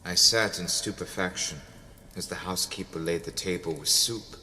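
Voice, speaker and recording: A man's voice: low-pitched, normal speed, pushed and bright, slight background noise. A man giving a dramatic first-person narration of a story. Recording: long reverb over background music. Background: music.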